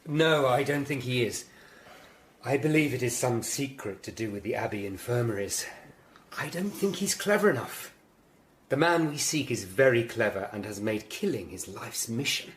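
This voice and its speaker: An actor playing a weary, driven investigator in a period radio drama, speaking earnestly and formally. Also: male voice, medium pitch, lively intonation, normal speed, slight background noise, close to an everyday voice.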